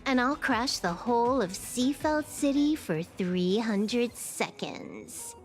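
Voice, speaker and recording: A woman's voice: on the high side, lively intonation, slow, faint music behind. A woman playing a cocky, playful young hacker in a video game, nonchalant and teasing as she drawls. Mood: smug, confident. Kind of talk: scripted video game character acting.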